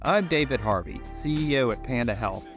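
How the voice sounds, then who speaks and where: A man's voice: mid-range voice, lively intonation, normal speed, a bit bright, faint music behind. A middle-aged man hosting a podcast interview, upbeat and complimentary as he brings in his guest. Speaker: podcast host, company CEO.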